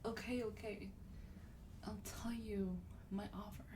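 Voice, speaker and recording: A woman playing a sweet, wealthy vampire in a soft-spoken ASMR roleplay, breathy and often near a whisper, gently coaxing with a slightly haughty air. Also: mid-range voice, steady tone, a little slow, far from the mic, noisy background, close to an everyday voice. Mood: wry, confessing.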